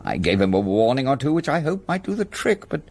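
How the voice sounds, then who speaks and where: An actor playing an older, old-fashioned English solicitor in a radio play, speaking in a formal, guarded way with an English accent. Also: male voice, medium pitch, sing-song, fairly quick, creaky, darkish tone.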